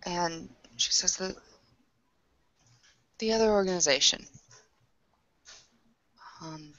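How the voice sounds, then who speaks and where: Lower-voiced woman roleplaying haltingly. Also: a bit bright, lo-fi sound. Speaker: tabletop roleplay player. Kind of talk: casual roleplay, half narrating her character.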